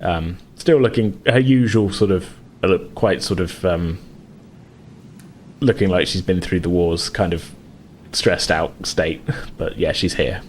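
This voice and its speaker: Deep voiced guy running a tabletop game, making it up as he goes, halting, slight background noise. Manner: hesitant, hedging delivery. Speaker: game master. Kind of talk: improvised narration of a tabletop game.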